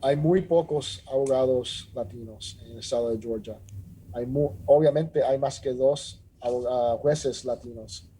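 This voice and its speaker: A middle-aged man from Rico, a judge talking earnestly in a measured way with many restarts and filler sounds. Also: mid-range voice, dark tone, breathy edge, poor audio. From Puerto Rico. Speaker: judge, interview guest. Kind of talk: casual interview talk.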